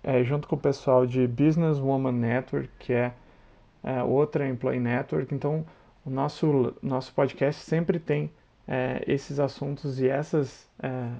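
A man's voice: mid-range voice, steady tone, a little slow, vocal fry, warm tone, dull audio. A man hosting a podcast in a friendly, casual way, with many hesitation fillers. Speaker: podcast host.

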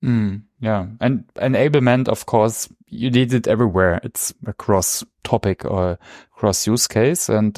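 Man, German accent, lowish voice, podcast host, conversational questions, dry recording. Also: a little mellow, dry recording. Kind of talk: conversational podcast interviewing in English.

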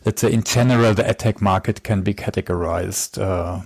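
Male podcast host, lowish voice, dry recording; halting, filler-heavy questioner. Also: fairly even intonation, creaky, slightly rough, dry recording. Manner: hesitant, with many uhs and "let's say". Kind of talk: podcast interview.

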